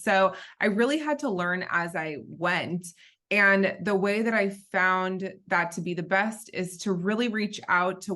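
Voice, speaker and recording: A woman with an American accent and a bright, forward voice that sits a little low. She speaks conversationally, as a guest explaining her work on a podcast. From Southern California, born in Israel. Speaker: podcast guest, business founder. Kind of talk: conversational interview answers.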